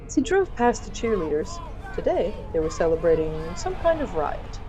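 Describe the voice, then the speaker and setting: A woman narrates a comic fantasy tale with a wry, theatrical delivery. Her pitch swings in an animated way as she slips in pointed asides to the listener. Guitar music plays clearly behind her. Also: moderate pace. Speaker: storyteller of a fiction podcast.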